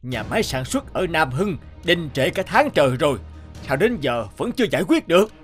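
A man's voice: a little high, big pitch swings, normal speed, music in the background. A man voicing an impatient, demanding middle-aged factory boss in a cartoon. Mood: angry, impatient. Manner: demanding, brusque. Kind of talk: animated cartoon dialogue.

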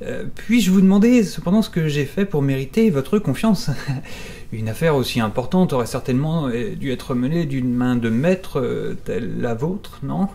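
A man's voice: mid-range voice, lively intonation, moderate pace, creaky, dark tone. A man playing an eager, timid notary's clerk who tells his own story in a French audio drama. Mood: nervous, flustered. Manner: hesitant, stammering in dialogue. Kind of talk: French audio drama with first-person narration.

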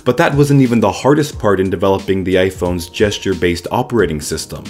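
A man with a cold, his voice slightly nasal and raspy, narrating a tech explainer as the channel's host in a steady, explanatory way. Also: low voice, fast talker, soft background music. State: sick with a cold. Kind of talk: scripted voice-over narration.

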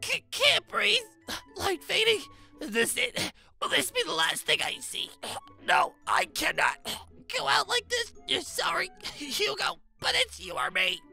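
A strained, gasping little cartoon cat acted by a woman, wildly melodramatic. The voice is piercing and halting, with heavy fry. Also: extremely high pitch, wildly swooping pitch, pushed and bright, soft background music, pitched above the performer's own voice, heavily acted. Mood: desperate.

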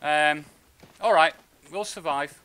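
A man's voice: fairly high pitch, slow pace, bright, forceful tone, clear, resonant tone, low-quality recording. A man streaming a video game, commenting in short muttered remarks to himself as he plays, with game sound behind him. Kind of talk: live gameplay commentary. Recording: noticeable background noise.

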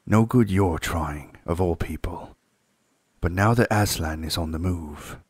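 A man voicing a grave, homely male beaver in a storybook, with a husky voice and an unhurried way of talking. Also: sits low, darkish tone, dry recording, studio quality.

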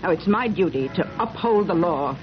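An actress playing a determined, chatty middle-aged small-town busybody in a 1970s radio drama. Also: female voice, on the low side, expressive, normal speed, lightly acted. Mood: insistent, suspicious. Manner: chatty, insistent. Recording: muffled, noisy vintage radio broadcast.

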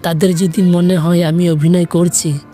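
A man playing a quiet, sincere, wounded young lover in a romantic audio story, speaking in a soft, restrained way. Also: high voice, little pitch movement, a little slow, soft background music. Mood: resigned.

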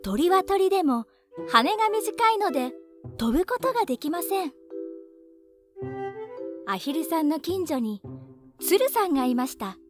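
A woman narrating a children's storybook in an expressive, clearly phrased read-aloud style. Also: very high voice, measured pace, long pauses. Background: children's background music.

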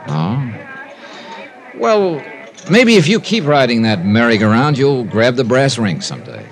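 An actor playing a wry, hard-boiled insurance investigator with a dry delivery in a 1950s radio crime drama. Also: male voice, medium pitch, huge pitch swings, measured pace. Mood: wry, curious. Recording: old 1950s radio recording with music.

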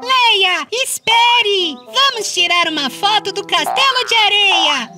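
A woman's voice: extremely high pitch, animated, slow, far from the mic, loud music behind the voice, heavily acted. A woman voicing a small, eager, childlike truck for a children's cartoon dub.

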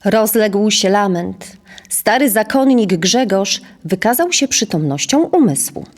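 A woman serves as narrator of an audio drama. Her pitch is middling. She reads scripted prose in a measured, literary storytelling style. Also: lively intonation.

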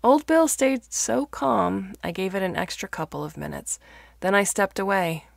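A woman reading fiction aloud as a narrator, in a measured, expressive storytelling manner. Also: mid-range voice, gravelly, no echo, studio quality. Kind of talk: scripted audiobook-style narration of fiction.

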